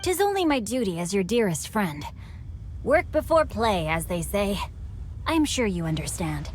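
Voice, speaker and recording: A woman's voice: medium pitch, sing-song, normal speed, a little hiss or hum, natural voice. A woman voicing an eloquent, playful young noble swordsman in a game cutscene, formal and teasing.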